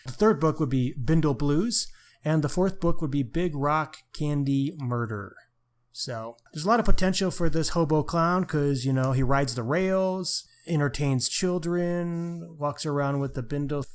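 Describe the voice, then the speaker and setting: A man's voice: fairly high pitch, unhurried. A man co-hosting a podcast, talking casually about crime books. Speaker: podcast co-host.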